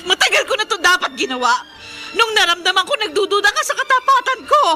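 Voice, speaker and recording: An actress with a Filipino accent playing an aggrieved, tearful young wife in a melodramatic radio drama. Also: female voice, very high-pitched, animated, fast. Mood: tearful fury. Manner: emotional, tearful, reproachful. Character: aggrieved young wife walking out on her jealous husband. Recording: radio drama with music behind.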